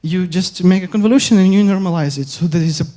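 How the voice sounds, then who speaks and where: Young man from Ukraine, hesitant academic presenter, warm darker voice. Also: sits high. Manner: halting, many filler pauses and restarts. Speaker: conference speaker. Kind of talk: academic conference talk.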